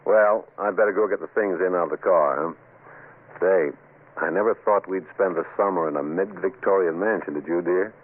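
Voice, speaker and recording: A man's voice: low voice, moderate pace. An actor playing a wry young husband and father, teasing in a dry, casual way, in old-time radio drama style. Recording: thin, phone-like old radio recording, faint noise.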